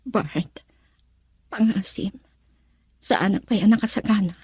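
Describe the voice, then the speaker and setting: Radio drama wife, played by a woman: troubled, remorseful, melodramatic, husky breathy voice, very dry sound. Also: medium pitch, slow pace, dark tone, no echo. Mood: questioning, concerned. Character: troubled, remorseful young wife in love triangle. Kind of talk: Ilocano radio drama acting.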